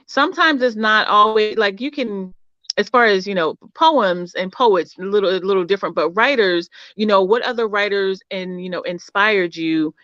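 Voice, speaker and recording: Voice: medium pitch, a bit bright, clean tone, dry recording. A podcast host asking long, rambling interview questions, often restarting mid-sentence and encouraging the guest with "mm-hmm". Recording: dull muffled dry sound.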